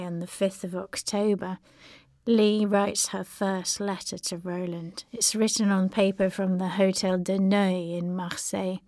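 Female letter reader, unhurried and dramatic, lingering on words, dry studio-like sound. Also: mid-range voice, creaky, darkish tone, no room sound. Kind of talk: expressive reading of letters with narration.

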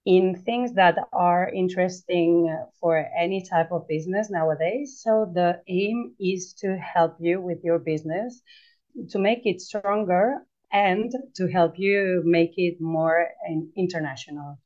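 A woman's voice: on the low side, measured pace, darkish tone. Hesitant woman on dull, lo-fi audio. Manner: hesitant, frequent fillers. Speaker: entrepreneur guest. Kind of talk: explanatory conversation.

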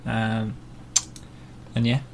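A man co-hosting a casual book club podcast, speaking in a hesitant, halting way. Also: fairly low pitch, completely flat delivery, gravelly, a little mellow, a little hiss or hum. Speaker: podcast co-host.

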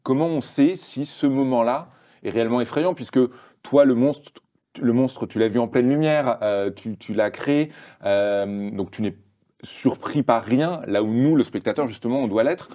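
A man hosting a podcast interview, asking measured questions and backing the guest up with short agreements. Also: mid-range voice, warm tone.

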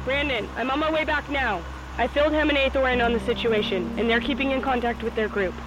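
A woman playing a brisk survivor giving urgent reports in a zombie audio drama. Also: sits high, lightly acted. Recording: noisy battle ambience.

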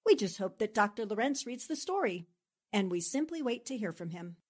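A woman playing a shrewd, take-charge newspaper reporter in old-time radio drama style, brisk, decisive and articulate. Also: mid-range voice, sing-song. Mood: exasperated, brisk.

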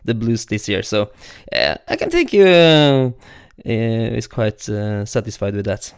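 A man's voice: lowish voice, big pitch swings, measured pace, creaky, clean tone, dry recording, studio quality. A man hosting an interview, asking questions hesitantly with many uhs and slightly awkward phrasing. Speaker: interviewer, host.

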